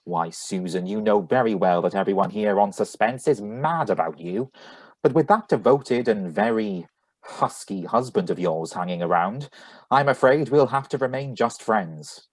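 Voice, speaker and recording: A man playing a suave, husky 1940s radio announcer delivering a sponsor pitch. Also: lively intonation, normal speed, a little mellow, no echo. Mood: genial, salesmanlike.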